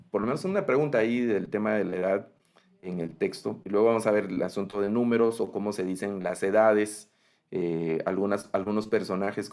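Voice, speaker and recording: Latin American male teacher, low register, gravelly texture, patient explainer who checks in often. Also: a little mellow. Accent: Latin American Spanish. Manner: patient, explanatory, frequent "¿verdad?" check-ins. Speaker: Bible language teacher. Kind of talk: online class lecture.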